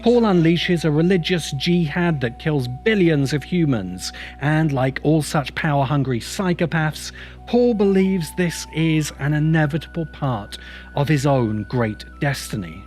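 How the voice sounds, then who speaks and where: A man narrates a scripted video essay in a slightly high voice. He is emphatic and opinionated, building rhetorical points, with music playing quietly underneath. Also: lively intonation, measured pace. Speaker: host and writer of science fiction video essays. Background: background music.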